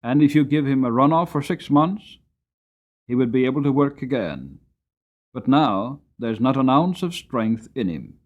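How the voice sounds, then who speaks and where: A man's voice: mid-range voice, animated, normal speed, phone-quality sound, pitched above the performer's own voice, close to an everyday voice. A man voicing a blunt, practical farrier, firm and emphatic, in a dramatic storybook reading. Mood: matter-of-fact. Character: blunt, practical farrier giving his verdict on a horse.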